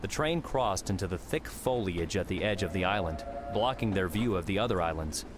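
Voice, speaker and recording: A man reading scripted fiction as an audiobook narrator, in a measured storytelling manner. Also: deep voice, lively intonation, music in the background.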